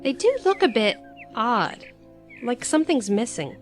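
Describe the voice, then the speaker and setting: A woman's voice: fairly high pitch, very animated, a little slow, soft background music. A woman acting in an audio drama as a curious, cheeky young travelling companion with a light, teasing manner.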